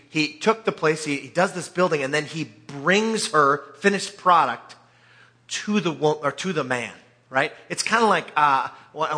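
A man preaching in an informal, conversational way, telling scripture like an animated storyteller with joking asides. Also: fairly high pitch. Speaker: preacher or Bible teacher.

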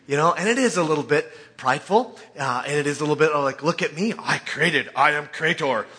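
Guy preaching casually, kinda high bright voice, animated storyteller, jokey asides. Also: a little fast. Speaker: preacher or Bible teacher. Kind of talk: informal conversational sermon with scripture readings.

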